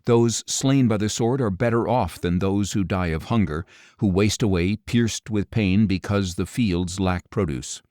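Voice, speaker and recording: A man narrating scripture as an audiobook, with a solemn, measured delivery and deliberate phrasing. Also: fairly low pitch, a little mellow, dry recording, studio quality.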